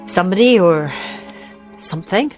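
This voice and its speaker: A woman acting as a wary, anxious character from a radio drama. Her voice is on the low side but very expressive, with a guarded, sardonic edge. Faint music plays behind her. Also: normal speed, lightly acted. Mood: uneasy, wry. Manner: guarded, dryly sardonic, hesitant.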